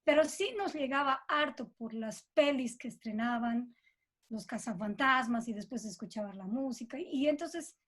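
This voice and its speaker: High-voiced Bolivian woman in conversation. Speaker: composer being interviewed. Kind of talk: reflective conversational interview.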